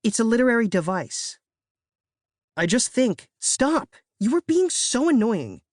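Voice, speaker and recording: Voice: mid-range voice, very animated, measured pace, darkish tone, no echo, studio quality, voice pushed up. Narrator putting on a screechy, melodramatic preteen girl. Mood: annoyed. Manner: screechy, theatrical, exasperated. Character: melodramatic, easily frustrated bookish preteen girl. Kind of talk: acted character dialogue in a children's audiobook.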